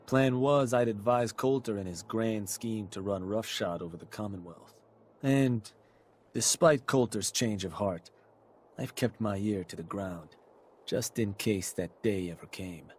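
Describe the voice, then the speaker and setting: An actor playing a cocky, scheming raider adviser in a video game, talking in a persuasive, sardonic way. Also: male voice, on the low side, darkish tone, slight background noise, lightly acted. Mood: matter-of-fact. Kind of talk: video game character dialogue.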